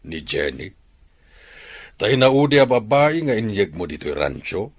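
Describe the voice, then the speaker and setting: A man's voice: fairly low pitch, sing-song, a little slow, exaggerated voice. A man acting a stern but fatherly middle-aged rancher with an Ilocano Filipino accent in a radio drama. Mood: questioning, stern.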